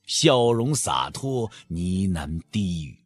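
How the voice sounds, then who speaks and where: A man narrating an audio drama with expressive, literary, scripted storytelling. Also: deep voice, slow, husky, dry recording.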